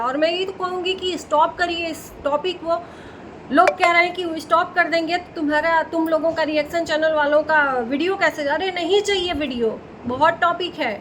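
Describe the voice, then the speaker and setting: Female reaction-channel host, high voice, casual and opinionated, repeats phrases for emphasis, some background noise. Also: fairly bright tone, rough recording.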